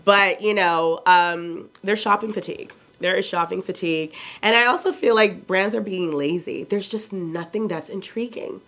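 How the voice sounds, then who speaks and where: A woman in her forties with a Nigerian accent talks straight to her viewers in a relaxed, chatty way. She is blunt and candid, and she poses questions to herself and answers them. Her voice sits at an ordinary middle pitch. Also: normal speed, few pauses. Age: 40s. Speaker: fashion vlogger. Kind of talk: casual chat to camera.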